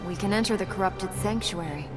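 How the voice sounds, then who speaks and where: An actress playing a dry, determined young princess in a video game, terse and matter-of-fact. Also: female voice, mid-range voice, a little fast, close to an everyday voice. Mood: earnest, matter-of-fact. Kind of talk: video game cutscene acting. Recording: distant voice under music.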